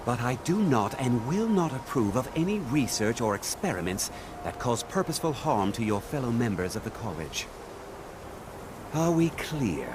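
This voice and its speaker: An actor playing a stern, dignified archmage in a fantasy video game, speaking in a formal, measured and authoritative way. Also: male voice, medium pitch, big pitch swings, background noise, lightly acted. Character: stern, dignified male archmage of a magic college. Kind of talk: video game character dialogue.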